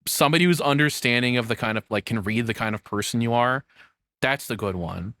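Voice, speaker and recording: A man's voice: medium pitch, fairly quick, sharp tone, dry recording. A man giving casual reaction commentary as a streamer, rambling candidly and self-deprecatingly, with casual swearing.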